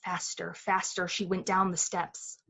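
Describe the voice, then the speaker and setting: A woman's voice: lowish voice, level tone, measured pace, vocal fry, pushed and bright, husky, heavily acted. A woman playing the storyteller of a thriller, narrating intensely and with suspense in the manner of a 1940s radio play. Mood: hushed suspense. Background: music.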